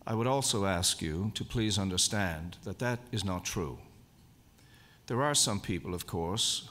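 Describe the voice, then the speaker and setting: A man giving a formal, measured lecture at a convention, speaking deliberately and stressing key words. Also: low voice, fairly bright tone. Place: lecture hall. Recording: long hall reverb.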